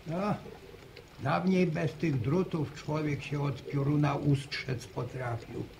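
Older superstitious village grandfather, gravelly and slow, noisy vintage radio-play recording. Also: on the high side, steady tone. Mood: stubborn. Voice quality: gravelly, halting restarts. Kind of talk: 1950s radio drama acting.

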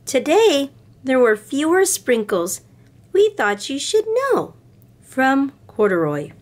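A woman voicing a sweet, helpful toy teddy bear in a picture book, with deliberate, expressive delivery. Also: sits high, pitched above the performer's own voice, heavily acted. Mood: earnest. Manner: expressive, deliberate read-aloud.

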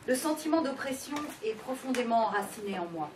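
A woman with a fairly high voice reads a text clearly. She is recorded from a distance in an echoey room, with clear background noise. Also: lively intonation, brisk. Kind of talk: reading a text aloud. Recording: echoey shop from far off, chatter.

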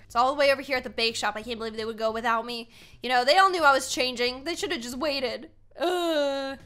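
A woman in her twenties with an American accent, giving excitable, playfully dramatic gameplay commentary and chatting casually to viewers at a close mic in a small room. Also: a little high. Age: 20s. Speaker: gaming video host. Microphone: desk microphone, close.

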